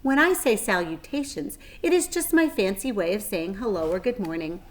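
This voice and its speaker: A woman's voice: medium pitch, expressive, normal speed, close to an everyday voice. A woman voicing a clever, kindly female spider, articulate and matter-of-fact, with a pleasant thin voice. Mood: friendly.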